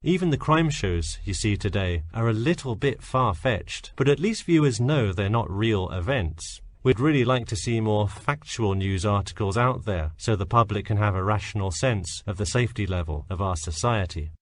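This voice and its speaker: A man acting as an earnest campus safety officer with a fairly low voice, recorded close and dry. He gives scripted advice in a measured, instructive way, stressing each precaution. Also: expressive, no room sound. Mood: measured, informative. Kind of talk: scripted safety talk for a listening test.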